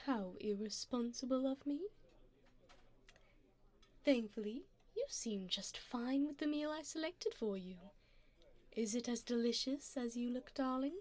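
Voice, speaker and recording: A woman's voice: fairly high pitch, unhurried, long pauses, a little mellow. A woman voicing an elegant, flirtatious vampire in an ASMR roleplay, speaking formally and teasingly to the listener with endearments.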